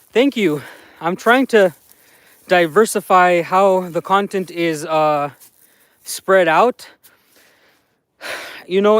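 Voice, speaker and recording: High-voiced Mexican American LA man vlogging. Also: smooth, dry recording. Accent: Mexican American, Los Angeles. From Los Angeles, San Fernando Valley. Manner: chatty, thanks viewers by name. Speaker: livestream vlogger. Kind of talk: casual livestream chat. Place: outdoors by a mountain slope.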